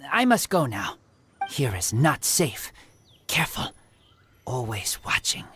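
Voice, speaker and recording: An awkward, wary boy raised by wolves in a video game. The voice is very deep and slow, rising and falling a lot in terse, halting phrases. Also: sing-song, fairly bright tone, a little hiss or hum, heavily acted. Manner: halting, broken simple sentences. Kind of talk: video game character voice acting.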